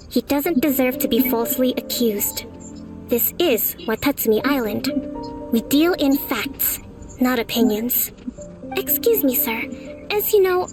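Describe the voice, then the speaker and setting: A composed young ruler in a game cutscene, speaking in a light, high voice with measured, formal calm. Background music plays clearly under her. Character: calm young female island leader, composed and diplomatic. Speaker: leader of the island.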